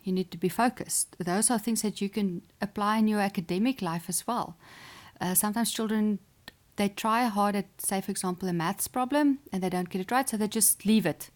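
A woman's voice: mid-range voice, normal speed. A woman, an Afrikaans speaker, answering interview questions as a chess player and sports psychologist in an earnest, encouraging way. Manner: earnest, encouraging, with small restarts and soft laughs.

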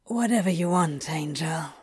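A woman voicing an exasperated male demon in an audio story, with breathy, dramatic protests and a smitten undertone. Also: mid-range voice, lively intonation, drawn-out words, no room sound, studio quality, heavily acted. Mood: yielding.

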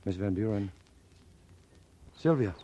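Expressive low-voiced American scientist, old radio. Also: male voice, takes it slowly. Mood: steady, practical. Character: calm, commanding scientist explaining alien weapons, middle-aged man. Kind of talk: 1950s radio drama acting. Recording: noisy 1950s radio broadcast recording.

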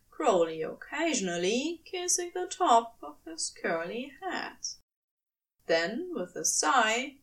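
Casting: female story narrator, highish voice with lively intonation, measured reading, slightly away from mic. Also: distant mic, low-quality recording. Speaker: podfic narrator. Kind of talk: scripted fiction narration.

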